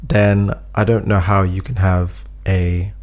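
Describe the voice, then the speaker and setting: A man's voice: sits low, little pitch movement, takes it slowly, darkish tone, a little hiss or hum. A man co-hosting a casual chat, giving earnest advice and acting out example speeches, a bit phlegmy. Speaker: podcast co-host giving advice.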